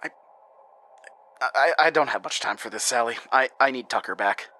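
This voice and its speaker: An actor playing a troubled, defensive investigator in a horror audio drama, halting, rambling and confessional. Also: male voice, medium pitch, bright, forceful tone, close to an everyday voice. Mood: bewildered, uneasy. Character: troubled, defensive male investigator recounting his case.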